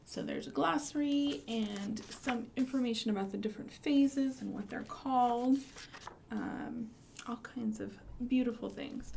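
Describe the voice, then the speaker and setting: A woman's voice: on the high side, creaky, a little mellow, a little hiss or hum. A woman hosting a storytime for young children, reciting rhymes and presenting books with simple questions and prompts.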